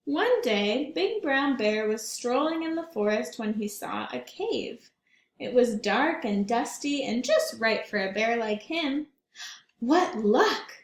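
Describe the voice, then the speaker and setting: A woman teacher reading a picture book aloud to children in a gentle, slow way, stopping to ask them questions. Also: fairly high pitch, lively intonation. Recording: roomy echo.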